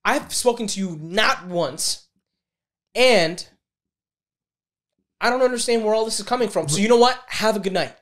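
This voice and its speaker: A man co-hosting a podcast, telling a story in casual banter with a big voice, animated and profane, quick to snap back at the others. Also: sits high. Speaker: podcast co-host.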